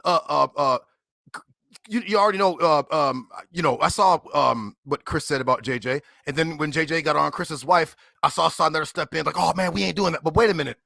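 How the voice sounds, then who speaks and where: A man's voice: fairly high pitch, brisk, vocal fry, pushed and bright, dry recording, clean studio sound. A man from Cleveland retelling a debate, indignant and stammering with many fillers. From Cleveland, USA. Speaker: debater and guest. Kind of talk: casual chat retelling a debate.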